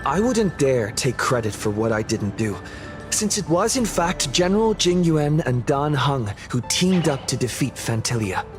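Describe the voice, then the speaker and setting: A man's voice: medium pitch, big pitch swings, moderate pace, natural voice. A man voicing a modest, careful, easygoing character in an anime-style video game, polite and slightly hesitant. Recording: background music under the voice.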